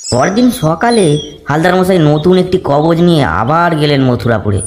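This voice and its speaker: A man giving dramatic scripted narration of an animated ghost story. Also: a little high, normal speed, slight background noise.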